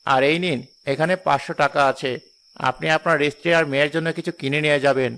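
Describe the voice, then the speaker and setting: A generous, courteous family man , acted by a man, in a cartoon drama. His voice is very smooth and a little high, earnest and kindly in manner. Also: fairly even intonation, fast, a bit bright.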